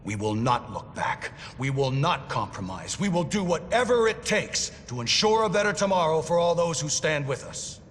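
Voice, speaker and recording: An actor playing a zealous male battalion commander who gives a grave, forceful broadcast address. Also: fairly high pitch, very animated, moderate pace, hardly any pauses, long reverb tail, slight background noise. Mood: commanding. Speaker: military commander.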